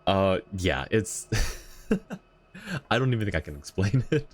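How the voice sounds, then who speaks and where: A man's voice: lowish voice, wildly swooping pitch, slow. Chuckling, easygoing male streamer. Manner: laughs often, rambling and easygoing. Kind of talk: casual chat with viewers while streaming.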